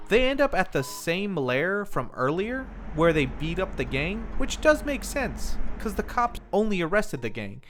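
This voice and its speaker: A man narrating a scripted film recap as a review host, with casual, lightly wry asides. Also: on the high side.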